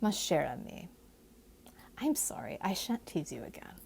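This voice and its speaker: A woman voicing a confident, teasing gentleman in a historical romance audiobook, with persuasive, formal phrasing. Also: lowish voice, sing-song, a little slow, creaky, husky, whispered, heavily acted. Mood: gentle, reassuring.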